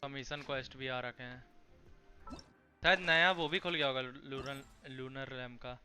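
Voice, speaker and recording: Indian guy streaming games, talks super fast, sharp bright voice, hindi native mixing languages, bit of music. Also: mid-range voice. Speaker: gaming streamer. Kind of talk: casual gameplay commentary, mixing languages.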